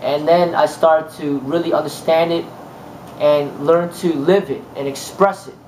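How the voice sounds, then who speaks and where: A man's voice: fairly high pitch, fairly even intonation, measured pace, a little mellow, echoey room, a little hiss or hum, poor audio. A man from the United States, a martial arts instructor talking casually in a rambling way, with many fillers and restarts. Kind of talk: casual monologue.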